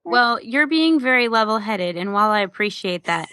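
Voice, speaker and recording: A young woman co-hosts a casual podcast chat. She is opinionated and playful, speaking at an average pitch. Her voice sits in a dry, echo-free recording. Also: animated, normal speed, pushed and bright. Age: 30s. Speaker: podcast co-host.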